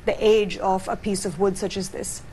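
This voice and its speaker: Woman presenter, mid pitch, polished studio delivery, faint background hum. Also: level tone, moderate pace, a little mellow. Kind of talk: morning show interview. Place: TV studio set.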